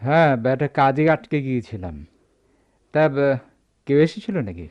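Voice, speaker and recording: Man acting an animated middle-aged shop boss, muffled recording. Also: mid-range voice, moderate pace, natural voice. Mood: eager. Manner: emphatic, theatrical. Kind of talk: Bengali radio drama acting. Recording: dull lo-fi radio drama sound.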